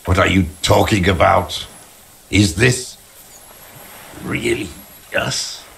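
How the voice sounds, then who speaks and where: An actor playing an embittered, unstable old deserter in a video game, with a strained, creaky voice and agitated, erratic delivery. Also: male voice, low voice, slow pace, fairly bright tone, full character voice. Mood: bewildered anger. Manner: agitated, erratic, swinging between rage and despair.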